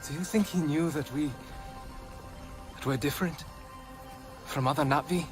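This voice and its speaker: A man's voice: on the high side, normal speed, lightly acted. An actor playing a troubled, doubting young alien man in a dramatic game cutscene. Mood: troubled, bitter. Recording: music behind the voice.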